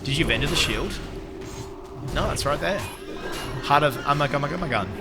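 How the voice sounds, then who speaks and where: A man live-streaming a game and chatting to viewers in an excitable, swearing way, recovering from a lost voice. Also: a little high, normal speed, over loud music. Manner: excitable, swears, shouts out chat members. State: recovering from losing his voice. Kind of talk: casual gaming stream chat.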